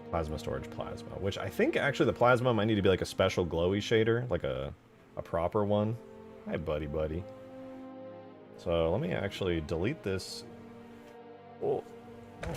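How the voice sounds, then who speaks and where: A man's voice: low voice, moderate pace, over music. A man giving casual, informal live commentary as he works on game mods, muttering his thoughts aloud with the odd swear. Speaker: game modder, streamer.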